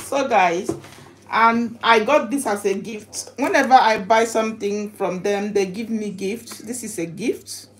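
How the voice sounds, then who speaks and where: A woman chatting casually to her livestream viewers while unboxing, halting and repeating herself, recorded in a home kitchen. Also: medium pitch. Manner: halting, repetitive, chatty delivery. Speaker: livestream vlogger. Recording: noisy home recording with clicks.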